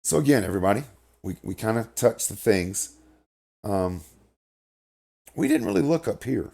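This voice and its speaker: A man's voice: on the low side, lively intonation, moderate pace, a little mellow. A middle-aged man with a crackly voice, rambling through casual game commentary from his home setup. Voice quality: crackly, gravelly voice. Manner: rambling, repeats words, swears when annoyed. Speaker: gaming video host. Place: home room, indoors.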